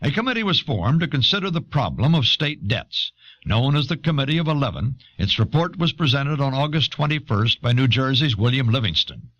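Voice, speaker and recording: A man reading scripted historical narration in a measured, authoritative manner. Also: medium pitch, sing-song, a bit bright. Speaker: documentary narrator. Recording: dull old audio cassette.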